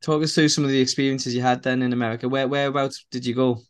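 A man's voice: mid-range voice, fairly even intonation, fast, clean tone. A man hosting an interview podcast over a video call, asking questions with frequent restarts. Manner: stumbling restarts, asks questions. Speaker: podcast host. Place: small room indoors.

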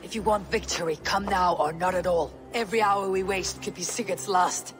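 A woman's voice: lowish voice, normal speed, over music, close to an everyday voice. An actress voicing a young, brash and determined female Viking warrior leader, blunt and resolute, in a video game. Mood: impatient. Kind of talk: video game cutscene acting.

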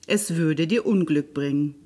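Woman as a good fairy, measured and cautioning. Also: low-pitched, in a large hall, background noise, voice pushed down. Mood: solemn warning. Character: good female fairy giving a solemn warning.

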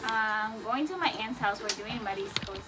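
A woman's voice: mid-range voice, normal speed. Young woman, twenties, casual office chatter, rambling, phone recording with background noise. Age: 20s. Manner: rambling with many "like" fillers. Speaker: dental office staff. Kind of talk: casual chat. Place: small dental office break room.

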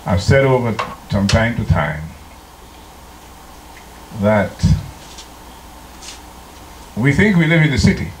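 Slow-preaching older pastor from Trinidad. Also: male voice, mid-range voice, very animated, slight background noise. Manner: slow, deliberate preaching that breaks into sung hymn lines. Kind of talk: sermon. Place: church sanctuary. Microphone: handheld microphone. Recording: echoey church room.

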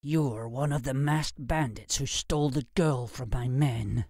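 A man's voice: medium pitch, measured pace, darkish tone, dry recording, studio quality. A man voicing an arrogant, contemptuous English lord with a haughty, sneering delivery in an audiobook. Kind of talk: audiobook character acting.

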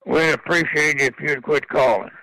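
An older man talks over the telephone in a gravelly, slightly high voice. He is curt and plain-spoken, recorded with little room echo. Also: steady tone, moderate pace, pushed and bright. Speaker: prank call recipient. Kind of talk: phone conversation.

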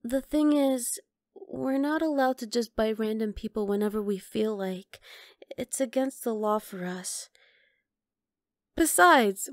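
Woman acting a high-voiced, teasing vampire girl. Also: no room sound, studio quality. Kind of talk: ASMR roleplay.

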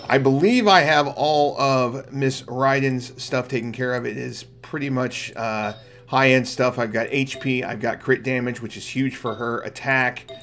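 A man's voice: medium pitch, fairly even intonation, a little slow, fairly bright tone. A middle-aged man giving casual, explanatory livestream commentary on a game, chatting to viewers. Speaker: game streamer, channel host. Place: indoor room at home. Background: game music.

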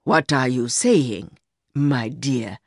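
A woman voicing an older rich man, a smooth, condescending and fatherly secret lover in an audiobook story. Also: rumbling low, extremely slow, a little mellow, dry recording, studio quality, voice pushed down, exaggerated voice. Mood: smooth, probing. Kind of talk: acted dialogue in audiobook story.

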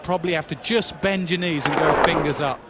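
A man's voice: fairly high pitch, a little fast, very noisy. A male cricket commentator giving analytical, conversational TV commentary from a commentary box. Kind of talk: TV sports commentary.

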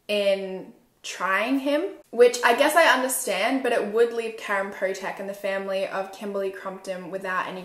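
Australian woman, twenties, conversational true crime storytelling, medium pitch, echoey room. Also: a bit bright. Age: 20s. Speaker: true crime video host. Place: small room at home, indoors. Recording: roomy indoor echo.

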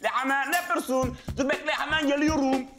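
A man's voice: medium pitch, expressive, a little fast, over music, close to an everyday voice. An actor in his thirties playing a hapless struggling auditionee in a sitcom, eager, cheeky and rambling with awkward politeness. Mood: forced cheerfulness. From Tokat, Turkey. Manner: hesitant, over-polite, rambling. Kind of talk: naturalistic sitcom acting.

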